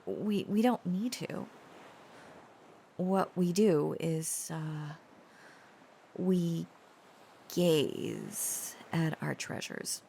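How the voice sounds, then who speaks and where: A woman playing a shy, protective dragon-descended lover in an intimate romantic audio roleplay, speaking haltingly and earnestly, often dropping toward a breathy whisper. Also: deep voice, animated, warm tone, slight background noise, heavily acted. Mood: earnest, sheepish. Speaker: voice actor.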